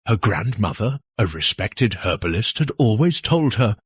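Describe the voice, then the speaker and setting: A man narrating a scary folktale as a storyteller, in a suspenseful, theatrical way with dramatic pauses. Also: on the low side, sing-song, slightly rough, no room sound, clean studio sound.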